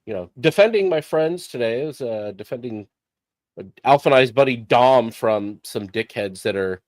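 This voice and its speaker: A man on a podcast panel speaks in a laid-back, blunt way. His pitch is average for a man, and his close mic sounds completely dry. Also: animated, moderate pace, no echo. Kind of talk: casual podcast chat.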